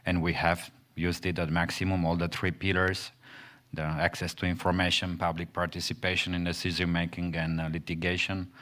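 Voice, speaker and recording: A man from Romania, giving halting panel remarks as an activist at a conference, with many pauses and restarts. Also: low-pitched, flat delivery, a bit bright. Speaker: panel speaker, forest activist. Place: conference hall.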